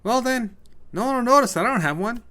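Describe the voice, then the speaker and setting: A man's voice: extremely high pitch, expressive, quick pace, pushed and bright, voice pushed up, exaggerated voice. A man voicing a tired, cranky new homeowner in an audiobook story, dry and grumbling with a sarcastic edge. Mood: tired, polite refusal. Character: tired, cranky male newcomer, dryly sarcastic minimalist. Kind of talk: audiobook character voice.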